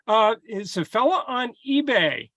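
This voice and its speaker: A man casually explaining how he built a model, in a relaxed, explanatory way with a few fillers. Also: very high-pitched, a little slow, dry recording, dull audio. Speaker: hobbyist presenting his model. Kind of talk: casual show-and-tell explanation.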